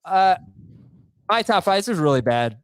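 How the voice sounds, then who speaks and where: A man's voice: high-pitched, very animated, normal speed, clean tone, dry recording, clean studio sound. A man co-hosting a podcast, joking and self-deprecating, with many fillers. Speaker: podcast co-host. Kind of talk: casual podcast chat.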